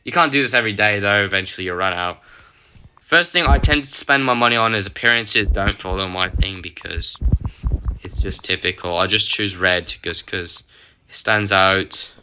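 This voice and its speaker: A man with a half-lost, hoarse voice, rambling through a casual mobile game tutorial with lots of self-corrections. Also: fairly low pitch, normal speed, background noise. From United Kingdom. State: partly lost voice, throat-clearing. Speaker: gaming channel host.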